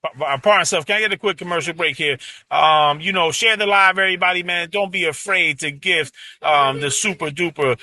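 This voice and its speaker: Very bright-voiced male stream host. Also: on the high side, brisk, distant mic. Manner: loose and rambling, heavy on "you know what I'm saying". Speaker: host of a live panel stream. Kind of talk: casual live-stream hosting.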